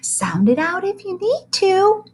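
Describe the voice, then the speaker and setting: A woman's voice: extremely high pitch, very animated, slow, pitched above the performer's own voice, heavily acted. A woman voicing a cheerful, gushing kindergarten teacher with a sing-song, chirpy manner.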